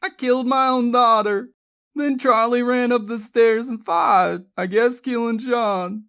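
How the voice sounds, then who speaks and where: Audiobook role: guilt-ridden old grandmother, female narrator acting, emotional, firm, pleading, very animated. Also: fairly high pitch, a little slow, pitched above the performer's own voice. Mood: guilty confession. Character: sobbing, guilt-ridden elderly grandmother, firm yet anguished.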